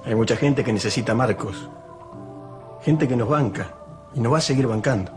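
A man's voice: mid-range voice, normal speed, lightly acted. An actor playing a pragmatic, shady, businesslike man in a telenovela, in his forties with an Argentine accent. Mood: matter-of-fact. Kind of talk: telenovela acting. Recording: music playing under the dialogue.

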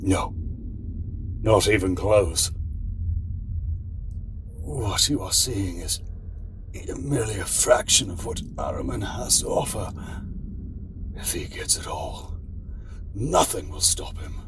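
Man playing a low-voiced, slow-talking scheming mastermind. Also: animated, full character voice. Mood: bitter, exasperated. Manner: dramatic, portentous, deliberate. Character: scheming, bitter male cult mastermind, condescending and grandiose. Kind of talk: audio drama acting. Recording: noisy background ambience.

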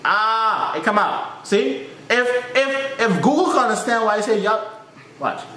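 A man's voice: high-pitched, big pitch swings, a little slow, pushed and bright. A young man with a Trinidadian accent reacting to a video from a small room, joking and talking back at the screen. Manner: joking, mock-frustrated, talks back at the screen. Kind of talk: casual reaction commentary. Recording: echoey room with faint hiss.

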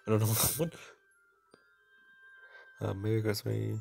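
A man's voice: fairly low pitch, monotone, takes it slowly, vocal fry, a little mellow, soft background music. A man hosting a casual game playthrough, rambling and stumbling as he reads aloud. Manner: rambling, stumbles over text while reading. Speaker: gaming video host.

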